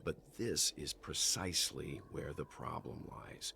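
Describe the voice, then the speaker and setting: An actor playing a calm, thoughtful older male companion in a video game, gravelly and deliberate like a mentor. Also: a bass voice, a little hiss or hum, heavily acted. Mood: suspicious, analytical. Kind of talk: scripted video game voice acting.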